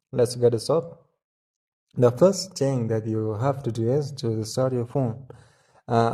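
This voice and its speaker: A man with a soft-edged, mellow voice at a medium pitch walks through instructions as a tutorial host. His delivery is step by step and a little halting, with frequent small hesitations. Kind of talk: step-by-step tech tutorial narration.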